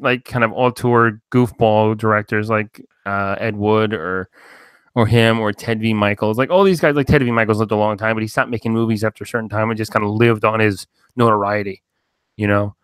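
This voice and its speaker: A man's voice: fairly low pitch, fairly quick, fairly bright tone, clean tone, dry recording, studio quality. A man from New Jersey hosting a podcast, rambling in loose casual chat with lots of fillers and swearing. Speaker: podcast host.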